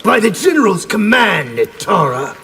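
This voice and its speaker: Gravelly, fierce warrior soldier. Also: male voice, high voice, sing-song, moderate pace, over loud music, full character voice. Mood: grim menace. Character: fierce, loyal, battle-hardened warrior soldier. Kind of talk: video game fight intro dialogue.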